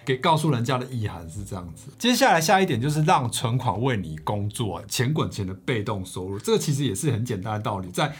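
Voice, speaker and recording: A man's voice: mid-range voice, very animated, quick pace, few pauses, husky. A man with a Taiwanese accent chatting as a podcast co-host, explaining things talkatively with examples. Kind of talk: casual discussion of personal finance.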